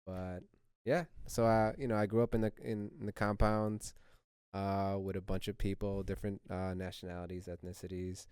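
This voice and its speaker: A young man from Saudi Arabia telling his life story in a relaxed, rambling, easygoing way as an interview guest. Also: low-pitched, moderate pace, clean tone, dry recording. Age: young adult. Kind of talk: casual conversational testimony.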